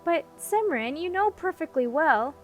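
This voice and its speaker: A woman's voice: sits high, animated, a little slow, faint music behind, pitched above the performer's own voice. Woman as an earnest, stubborn young prince. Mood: pleading. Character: earnest, stubborn young prince, well-meaning and easily hurt.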